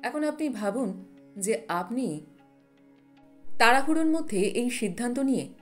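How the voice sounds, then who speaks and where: A woman voicing a wise, calm male monk who counsels earnestly in a storybook narration. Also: fairly high pitch, a little slow, soft background music, voice pushed up. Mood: earnest, instructive.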